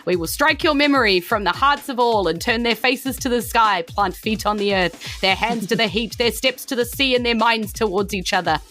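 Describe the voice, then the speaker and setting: A woman voicing an awkward, excitable male cultist in a tabletop game, with a flustered, chatty and petulant manner. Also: on the high side, moderate pace, few pauses, faint music behind, pitched above the performer's own voice, close to an everyday voice. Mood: solemn chanting. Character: Wynn, flighty, awkward, excitable male servant of the Forgotten.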